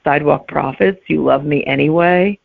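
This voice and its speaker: Middle-aged woman, creaky, on a phone-like line. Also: low-pitched, normal speed. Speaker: guest author and teacher. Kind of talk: casual interview chat. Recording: narrow, tinny phone-like line.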